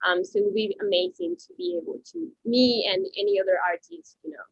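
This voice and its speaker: A woman from Venezuela, a painter and art educator, answering interview questions at home in a halting way, with many restarts. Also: high-pitched, sing-song, vocal fry, breathy edge, no room sound, lo-fi sound.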